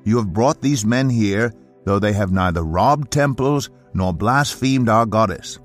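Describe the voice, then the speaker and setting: A man's voice: lowish voice, a little slow. A man giving a dramatic scripted reading of the Bible as an audiobook narrator. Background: faint music.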